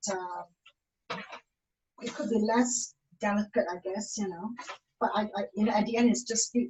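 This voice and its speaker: A woman from Malaysia, giving a casual cooking demonstration in a halting way, full of fillers and restarts. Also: a little high, a little hiss or hum, low-quality recording.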